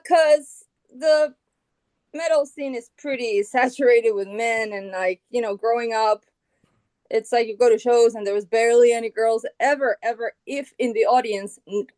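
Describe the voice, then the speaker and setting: Middle-aged woman from Colombia, interview guest, casual and unhurried with lots of fillers, dry close sound. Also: on the high side, no echo, poor audio. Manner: relaxed, thinks aloud with many fillers and restarts. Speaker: guitarist and founding band member, guest.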